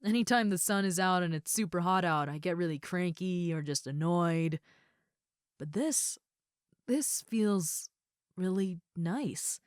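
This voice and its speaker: Woman playing a smooth, low-voiced friendly ogre roommate. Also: expressive, unhurried, no echo, clean studio sound. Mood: shy, tender. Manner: casual, chatty, with hesitant asides. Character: friendly, muscular female ogre roommate, easygoing and caring. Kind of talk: roleplay audio acting, addressed to the listener.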